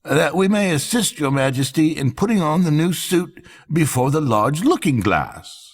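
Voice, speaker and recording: A flattering con-artist weaver from a storybook, acted out by a man. The voice is lifted high and slightly husky, with a showy, coaxing manner of presenting things to a monarch. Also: moderate pace, in a large hall. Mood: obsequious. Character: smooth-talking obsequious swindler weavers flattering a monarch.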